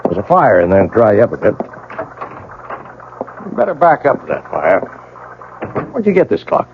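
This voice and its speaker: A man's voice: on the low side, huge pitch swings, normal speed, noisy background. A man playing a weary, obsessed older clockmaker in a radio drama, with brooding, literate phrasing. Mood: gruff, wary. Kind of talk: first-person radio drama narration and dialogue. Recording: muffled old radio recording.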